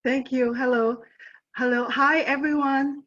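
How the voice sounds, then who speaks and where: A woman's voice: sits high, steady tone, a little slow, fairly bright tone. Woman presenter, dull video-call sound. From southern China. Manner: earnest, repeats and restarts often. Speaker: guest presenter. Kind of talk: presenting on a webinar.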